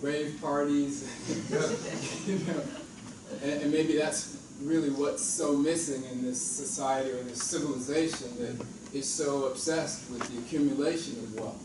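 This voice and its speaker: A man's voice: fairly high pitch, fairly even intonation, a little slow. A man in the audience offers a long comment, thinking aloud and restarting some phrases. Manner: thoughtful, restarts his phrasing. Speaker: audience member making a comment. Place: lecture hall. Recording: echoey hall, voice from far off, clear background noise.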